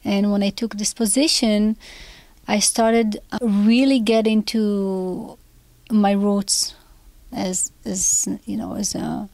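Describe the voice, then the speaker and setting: A woman's voice: mid-range voice, fairly even intonation, takes it slowly, darkish tone, no echo. A woman from Israel, a composer whose first language is Hebrew, talks through her interview answers in a considered way. She stops often to find the right word and fills gaps with small hesitations. Her voice sits in a middle register and the recording is close and dry. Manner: thoughtful, searching for words with many fillers.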